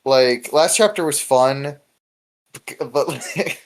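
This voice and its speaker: A man's voice: mid-range voice, lively intonation, slow. Rambling male podcast host, chatty. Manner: rambling, chuckles as he talks. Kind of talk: casual podcast chat.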